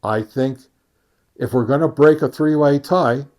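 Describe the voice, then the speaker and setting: A man presents test results as a reviewer in a casual, conversational style. He speaks very slowly at a middling pitch, often halting to think aloud. Folksy asides break up his delivery. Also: darkish tone. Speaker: amateur radio gear reviewer and host. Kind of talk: casual product test summary.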